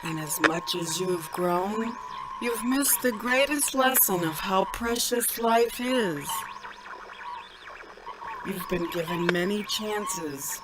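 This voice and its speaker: A woman playing an ancient motherly cosmic being, solemn and measured, in a radio drama. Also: mid-range voice, animated. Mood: solemn, grave. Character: ancient motherly cosmic being, grave and watchful over humanity. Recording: very noisy radio transmission, ambient sound.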